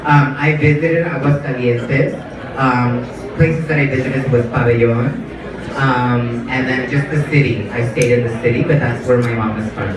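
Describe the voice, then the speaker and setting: A man's voice: medium pitch, fairly even intonation, normal speed, noisy background. A man whose first language is Spanish, answering audience questions on a club stage with playful, self-mocking stories. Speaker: panel guest. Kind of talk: live Q&A panel. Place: club stage under colored lights. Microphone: far audience camera. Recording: echoey hall from far off, crowd.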